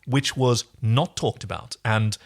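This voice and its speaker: Wry male podcast co-host, mid-pitched. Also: a little slow. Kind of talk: casual TV episode recap chat.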